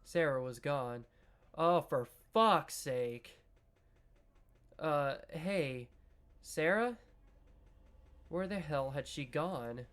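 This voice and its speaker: A man's voice: a little high, drawn-out words, pauses often, fairly bright tone. A man hosting a gaming video, reading a visual novel aloud, musing over choices, talking to viewers and swearing casually. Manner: reads story text, then muses aloud and swears casually; talks to viewers while deciding.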